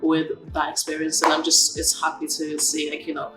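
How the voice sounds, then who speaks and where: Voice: mid-range voice, monotone, moderate pace. An interviewer asking long questions hesitantly, with many fillers. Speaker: interviewer, host. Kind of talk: casual interview. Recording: distant and echoey, music behind.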